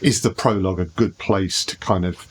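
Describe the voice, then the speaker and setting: A man with a husky, fairly low voice speaks as a podcast co-host. He talks casually and feels his way into questions, rephrasing as he goes. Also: normal speed.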